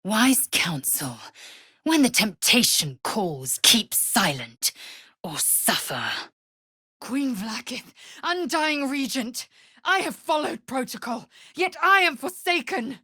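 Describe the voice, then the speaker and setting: A woman's voice: fairly high pitch, very animated, slow, sharp tone, slightly rough, dry recording, studio quality, heavily acted. A woman playing a proud, stern githyanki warrior in a video game, with clipped, imperious delivery and formal, archaic phrasing. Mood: menacing, then anguished, forsaken. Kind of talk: video game character voice acting.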